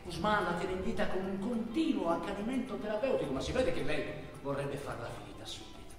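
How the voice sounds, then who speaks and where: A male stage actor narrates a travel tale in a theatrical way, phrase by phrase. His delivery is animated, and the sound is distant, with long hall reverb. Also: high-pitched, fairly quick, music in the background. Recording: very echoey hall, far from mic.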